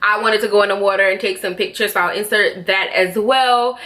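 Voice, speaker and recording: A woman's voice: mid-range voice, steady tone, fairly quick, pushed and bright, poor audio. A young Jamaican woman chatting casually to her vlog audience about a trip, rambling and correcting herself as she goes. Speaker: vlogger. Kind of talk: casual travel vlog chat.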